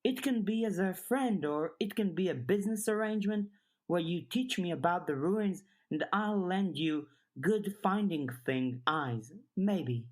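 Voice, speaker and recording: A woman's voice: lowish voice, lively intonation, measured pace, a little mellow, pitched above the performer's own voice. A woman voicing an eager, earnest girl in an audiobook, chatty and questioning, a little tentative. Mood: hopeful. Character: eager, earnest girl who loves puzzles, overthinking. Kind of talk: audiobook character dialogue.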